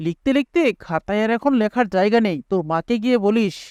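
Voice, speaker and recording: An actor playing a scornful, bossy village shopkeeper in a cartoon moral story, brusque and scolding. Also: male voice, sits high, animated, fast talker, darkish tone, smooth, no room sound, clean studio sound. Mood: scornful, impatient. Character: gruff, scornful village shopkeeper and bossy merchant, adult man. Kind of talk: animated moral story dialogue.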